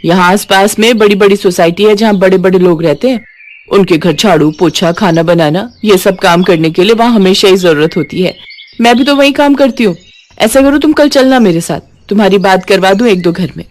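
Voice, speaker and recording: A woman voicing a brisk, kind-hearted working woman from a city slum in a moral story. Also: mid-range voice, a little mellow, natural voice. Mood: encouraging.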